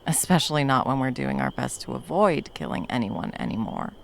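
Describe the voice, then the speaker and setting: A woman playing a protective, tender monster hunter in an intimate ASMR roleplay, speaking haltingly and breathily, often dropping toward a whisper. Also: rumbling low, wildly swooping pitch, creaky, a little hiss or hum, heavily acted. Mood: tender, hesitant. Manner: halting, coaxing, speaking to a beloved. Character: wandering female monster hunter, fiercely protective, tender lover.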